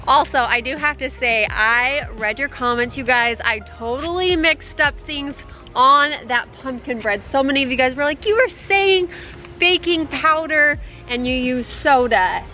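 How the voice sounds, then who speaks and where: A woman's voice: sits high, unhurried, bright, forceful tone, faint music behind. A woman vlogging casually to her viewers, a little congested from a cold, bubbly and chatty. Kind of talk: casual vlog chat.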